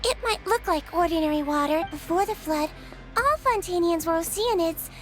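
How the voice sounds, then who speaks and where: A woman's voice: very high-pitched, animated, moderate pace, fairly bright tone. A woman voicing a small, sweet but shrewd young female nurse in an anime-style video game, with a chiding, matter-of-fact manner. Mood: explaining. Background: game music.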